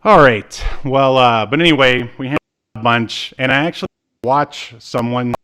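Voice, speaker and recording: A man's voice: medium pitch, normal speed, pushed and bright, clean tone. A man in his thirties hosting a podcast in a casual, joking, self-deprecating way. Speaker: podcast host. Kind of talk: casual chat.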